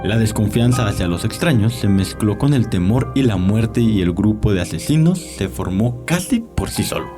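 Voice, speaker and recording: Casting: male actor for arrogant old vampire doctor, low expressive voice, savoring dark stories over prominent music. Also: normal speed, few pauses, natural voice. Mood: smug, amused. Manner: theatrical, leisurely, savoring storytelling. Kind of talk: audio drama character acting. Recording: music behind the voice.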